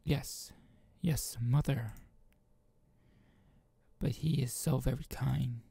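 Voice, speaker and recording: A man's voice: mid-range voice, steady tone, takes it slowly, vocal fry, warm tone, slightly rough, no echo, heavily acted. A man voicing a meek, obedient young girl who blames herself, in an amateur visual novel read-aloud. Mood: pleading. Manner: apologetic, pleading.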